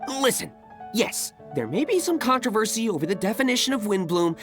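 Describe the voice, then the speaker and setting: A woman's voice: mid-range voice, animated, fairly quick, soft background music, lightly acted. A woman voicing an opinionated, pedantic fruit stall vendor in a video game, emphatic and pompous. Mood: indignant. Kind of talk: video game character acting.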